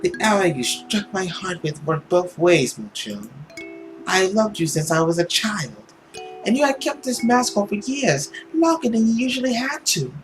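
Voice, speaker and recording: Man as sharp-tongued lovelorn woman, lifted voice, soft, wounded, visual novel drama, faint music. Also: sits high, big pitch swings, moderate pace, pitched above the performer's own voice, rough recording. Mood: tender, knowing. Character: spurned, sharp-tongued woman still in love.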